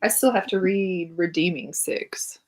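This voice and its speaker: A woman's voice: on the low side, a little slow. A woman chatting casually about books as a co-host on a show. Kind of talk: casual book chat.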